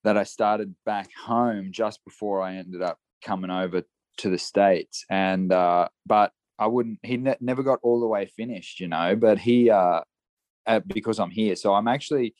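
A man's voice: fairly low pitch, darkish tone, no room sound. A young Australian man chatting casually on a podcast, telling rambling stories full of restarts and fillers. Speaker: guest horseman.

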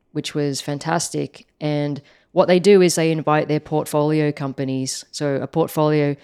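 A woman co-hosting a podcast in casual chat, her voice husky and a little hoarse from shouting, talking in a chatty, upbeat way and explaining things plainly. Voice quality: low, croaky. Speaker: podcast co-host, startup founder.

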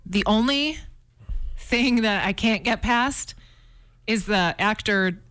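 A woman's voice: mid-range voice, slow, a bit bright, smooth. An American woman co-hosting a radio show, chatting casually about pop culture and sports.

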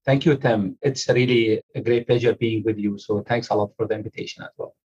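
A man's voice: on the low side, fairly even intonation, brisk, a little mellow, breathy edge, muffled recording. A man in his forties from Syria with an Arabic accent, talking earnestly and at length as a polite podcast guest. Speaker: podcast guest, agile coach. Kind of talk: reflective interview talk.

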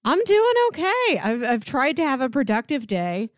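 A woman's voice: sits high, very animated, normal speed, no echo, studio quality. A woman co-hosting a podcast, chatting casually and conversationally about films. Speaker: podcast co-host.

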